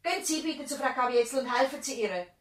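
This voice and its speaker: A woman's voice: sits high, expressive, fast. A woman acting the part of a devoted, worried village nurse in a 1970s radio drama, speaking earnestly and politely. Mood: urgent, alarmed. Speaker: actor in a radio play.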